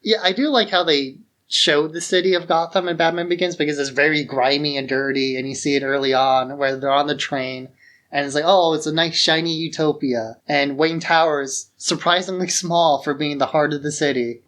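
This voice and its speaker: Guy on a movie podcast, slightly high voice, super casual and into it, says like a lot. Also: fairly even intonation, moderate pace, fairly bright tone. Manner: informal, enthusiastic, peppered with "like". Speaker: podcast co-host. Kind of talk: casual film discussion.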